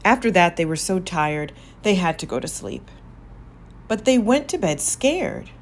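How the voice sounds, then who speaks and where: Slow, expressive woman reading a storybook. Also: on the low side, slight background noise. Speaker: storybook reader. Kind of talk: children's read-aloud narration.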